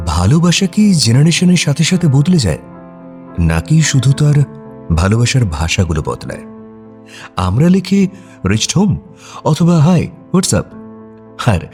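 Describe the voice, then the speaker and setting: A male narrator with a mid-pitched voice and a very lively intonation tells a scripted romance. He sounds reflective and conversational, with faint music underneath.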